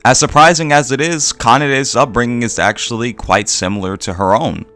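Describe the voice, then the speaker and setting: A man narrating a scripted video essay in a reflective, measured way with deliberate pauses. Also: medium pitch, pushed and bright. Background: background music.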